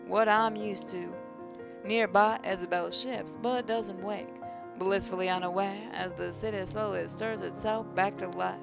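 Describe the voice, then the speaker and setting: A woman reading visual novel narration aloud in a measured storytelling manner, with an occasional stumble. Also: medium pitch, vocal fry. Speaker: narrator, video game player reading aloud.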